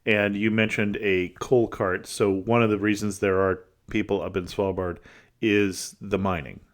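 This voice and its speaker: A man's voice: on the low side, level tone, measured pace. A man hosting a travel podcast, asking questions and giving short, easygoing acknowledgments. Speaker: podcast host. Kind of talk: interview questions.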